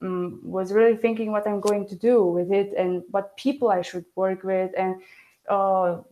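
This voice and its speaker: A woman's voice: medium pitch, flat delivery, measured pace, warm tone, rough recording. A woman who grew up in Germany and whose first language is Romanian, speaking English as a novelist guest in an interview. She thinks aloud and restarts her phrases often. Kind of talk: conversational interview answers.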